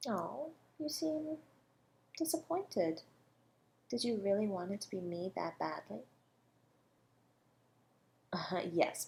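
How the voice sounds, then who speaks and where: A woman playing a self-assured academic under suspicion of murder in a flirty audio roleplay, teasing and composed, with deliberate, condescending delivery. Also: fairly low pitch, vocal fry, darkish tone, exaggerated voice. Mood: mocking. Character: coy, self-assured female academic, a murder suspect toying with detective. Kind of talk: flirty audio roleplay, one-sided dialogue.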